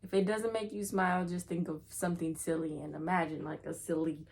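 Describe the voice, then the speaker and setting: A woman's voice: on the low side, flat delivery, fairly quick, vocal fry, darkish tone. A woman hosting a children's read-aloud, chatting playfully to young listeners and reading aloud.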